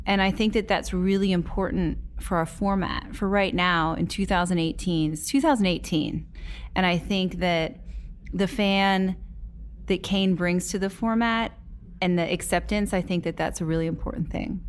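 A woman's voice: fairly low pitch, steady tone, normal speed. A woman, a music manager, speaking emphatically and often repeating herself. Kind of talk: interview.

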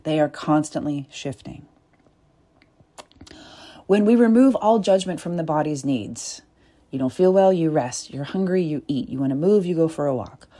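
Woman, low and mellow voice, soft and deliberate, reflective coach delivering a spoken essay. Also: expressive. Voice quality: deep and soft. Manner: soft, deliberate, with pauses and whispered asides. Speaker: body-work coach.

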